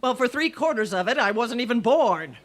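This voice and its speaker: An actress playing a wry, wisecracking but easily flustered schoolteacher in an old-time radio comedy. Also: female voice, on the high side, animated, brisk. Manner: dry, quipping, stammers when flustered.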